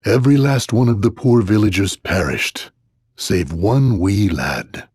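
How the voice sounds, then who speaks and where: A husky low innkeeper for a game, folksy gossip, wary of outsiders, voice swings about. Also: male voice, lively intonation, unhurried, a little mellow, no room sound, clean studio sound. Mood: gossipy. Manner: folksy, gossipy rustic phrasing. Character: folksy village innkeeper man, wary of strangers. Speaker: innkeeper character. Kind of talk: video game voice acting.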